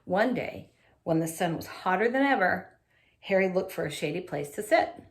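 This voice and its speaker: A woman reading a children's picture book aloud in an animated, expressive way, adding little asides for young listeners. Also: lowish voice, moderate pace.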